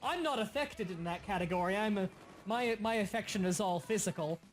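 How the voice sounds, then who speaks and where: A young man hosting a casual gaming livestream, explaining game mechanics in quick bursts and sometimes stuttering. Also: high-pitched, faint music behind. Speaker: livestream host. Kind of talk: casual gaming stream chat.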